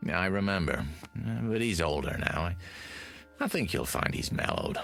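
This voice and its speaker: An actor playing a loyal, cajoling talent agent in a mid-century television drama, emphatic and placating. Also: male voice, sits low, lively intonation, moderate pace, gravelly, fairly bright tone, heavily acted. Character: loyal, coaxing male Hollywood talent agent. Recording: vintage TV soundtrack with music.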